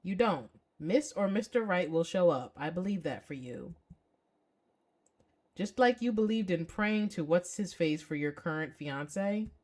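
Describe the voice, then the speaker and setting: A woman's voice: lowish voice, expressive, unhurried, a little mellow. Woman reading as caring, earnest young female best friend. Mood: earnest, reassuring.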